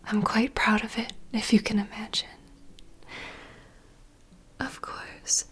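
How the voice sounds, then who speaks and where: A woman playing a poised, courteous vampire bartender in a whispered ASMR roleplay, unhurried and softly teasing. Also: a little high, slightly rough, heavily acted. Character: poised, welcoming female vampire bartender, proud mixologist.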